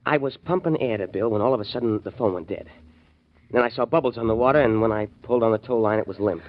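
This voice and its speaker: An actor playing a shifty man feigning sympathy in a 1940s radio drama, smooth and insincere. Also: mid-range voice, fast talker, lightly acted. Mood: false sympathy. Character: shifty male partner feigning grief. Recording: muffled old radio recording.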